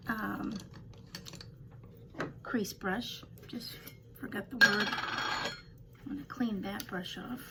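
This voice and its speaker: Older woman, slightly raspy, rambling casual tutorial talk, faint background hiss. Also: fairly high pitch, a bit bright. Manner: chatty, rambling, self-deprecating asides. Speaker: beauty vlogger.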